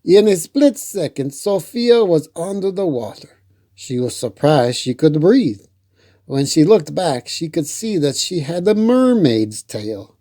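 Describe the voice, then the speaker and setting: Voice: medium pitch, big pitch swings, unhurried, mellow, no room sound, studio quality. A children's storytime host reading a picture book aloud expressively, with playful asides to the listeners.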